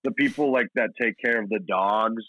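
A man's voice: fairly low pitch, normal speed, pushed and bright, no echo. An American man from Portland, Oregon, a comedian guesting on a podcast, telling anecdotes in a rambling, slangy, self-deprecating way over a tinny line. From Portland, Oregon, United States. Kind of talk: casual anecdotal chat.

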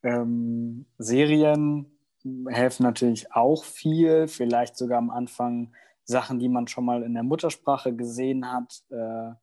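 A young man who grew up in Germany, a university student answering interview questions in a relaxed, casual way. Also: medium pitch, unhurried. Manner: relaxed, with many fillers. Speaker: guest.

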